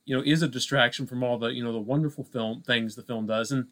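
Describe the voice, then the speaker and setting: A man with a medium-pitched voice talks casually as a film podcast co-host. He feels his way through each thought in a halting, conversational way, leaning often on small filler phrases. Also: steady tone, a bit bright. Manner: halting, heavy use of "you know" and "like".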